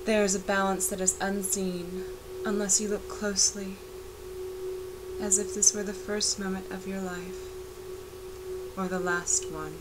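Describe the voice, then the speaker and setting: A woman reciting a poetic spoken-word narration for a video art piece, measured and reflective. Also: mid-range voice, flat delivery. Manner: measured, reflective, unhurried delivery. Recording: voice-over with clear background noise.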